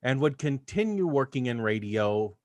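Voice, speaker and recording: A male video host delivers scripted narration at a middling pitch. The sound is dry, with very little room around the voice. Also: sing-song, moderate pace, no echo.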